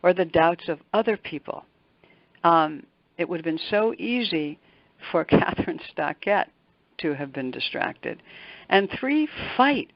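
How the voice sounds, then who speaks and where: A woman's voice: lowish voice, lively intonation, measured pace, creaky, dry recording. Older woman, emphatic speaker, dull dry recording. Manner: emphatic, repeats words for emphasis. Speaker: motivational speaker and executive coach, interview guest. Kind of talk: conversational interview answers with anecdotes.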